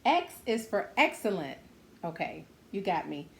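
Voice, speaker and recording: A woman's voice: mid-range voice, big pitch swings, measured pace, gravelly. A woman reading a children's book aloud, adding simple, encouraging asides spoken directly to her young listeners. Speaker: children's story-time host reading a picture book aloud.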